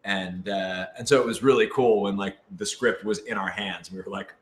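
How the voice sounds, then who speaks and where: A man's voice: lowish voice, steady tone, normal speed. A man on a show's panel, bantering casually and playfully in short interjections. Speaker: show cast member and panelist. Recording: echoey room with background noise.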